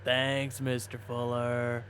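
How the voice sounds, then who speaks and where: An actor playing a sheepish, wheedling warehouse worker in casual audio drama dialogue, with an apologetic, stammering manner. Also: male voice, medium pitch, completely flat delivery, takes it slowly, a bit bright, slight background noise.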